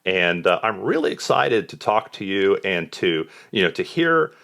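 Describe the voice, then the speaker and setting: A man's voice: deep voice, sing-song, normal speed, vocal fry, fairly bright tone. An American man hosting a podcast as a police chaplain, reading an earnest intro and then interviewing his guest. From United States. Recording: music behind the voice. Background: guitar music.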